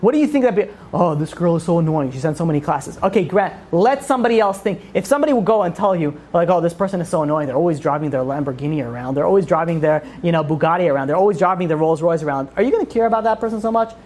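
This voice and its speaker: Rapid-fire woman teaching a religious class. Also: fairly low pitch, expressive, a little mellow. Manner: emphatic, asks and answers own questions. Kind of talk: informal religious lecture to a group.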